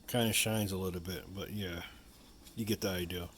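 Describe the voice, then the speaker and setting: A man with a fairly low voice hosts a casual how-to video. He talks through a hands-on demonstration in a loose, rambling way, often circling back to repeat his points and dropping in little asides. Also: steady tone, moderate pace, a bit bright, slight background noise. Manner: rambling, repetitive, with frequent "you know" asides. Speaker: video host demonstrating a product.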